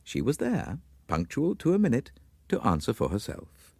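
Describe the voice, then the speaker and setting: A man narrating a literary story in a dramatic, measured and formal manner. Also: medium pitch, huge pitch swings, vocal fry, a little mellow, breathy edge, no room sound.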